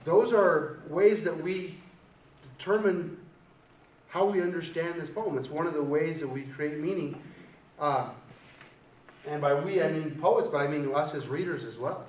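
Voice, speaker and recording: A man's voice: on the high side, unhurried, long pauses, darkish tone. A middle-aged man giving a university poetry lecture, chatty, who keeps checking in with "right?" and reads a poem aloud. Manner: conversational, keeps asking "right?". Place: lecture hall. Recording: echoey hall from far off, faint hiss.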